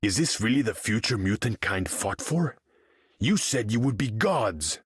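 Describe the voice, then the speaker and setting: An actor voicing a proud, regal ruler in a video game, speaking in a haughty, formal manner. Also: male voice, on the low side, sing-song, a little slow, husky, dry recording, studio quality, heavily acted. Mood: haughty, disdainful. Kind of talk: video game character voice lines.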